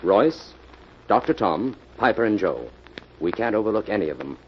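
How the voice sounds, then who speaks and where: An actor playing a brisk, determined investigator in an old radio mystery, decisive and giving orders. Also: male voice, on the low side, sing-song. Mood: businesslike. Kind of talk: 1940s radio mystery acting. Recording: muffled, noisy old-time radio recording.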